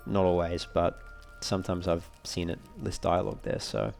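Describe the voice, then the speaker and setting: Male let's player, low-pitched, talking casually through a game and reasoning aloud as he goes. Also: animated, moderate pace, creaky, darkish tone, faint music behind. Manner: thinks aloud while reading menus. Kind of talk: casual gameplay walkthrough commentary.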